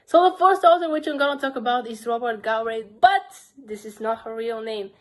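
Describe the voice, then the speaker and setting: A woman's voice: a little high, expressive, normal speed. A young woman with a Bulgarian accent, earnestly reviewing her crime book collection in a casual vlog. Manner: earnest, simple phrasing with frequent "basically". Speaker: book vlogger.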